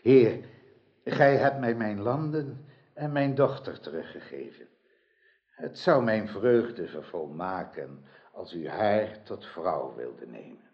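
An actor playing a grateful, pleading old lord near death, speaking solemn, formal lines in a radio drama. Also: male voice, on the low side, sing-song, slow pace, heavily acted. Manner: solemn, formal archaic speech. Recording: dull lo-fi old radio recording.

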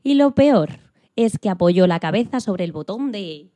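A woman narrating a children's story for radio in an expressive, dramatic way. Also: mid-range voice, a little slow, dry recording.

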